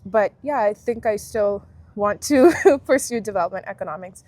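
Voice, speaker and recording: A woman in her twenties from Guyana, a university alumna, talking through her experiences in a relaxed, reflective way as an interview guest. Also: medium pitch, moderate pace, dry recording. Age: 20s. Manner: reflective, friendly, thinks aloud with fillers. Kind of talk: casual interview chat.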